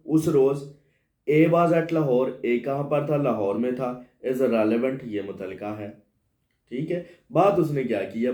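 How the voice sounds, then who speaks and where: A man's voice: mid-range voice, mellow. A man with a Pakistani accent teaching as a law lecturer, reading out clauses and explaining them by asking and answering his own questions. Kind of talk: lecture reading English clauses and translating into Urdu. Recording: echoey room sound.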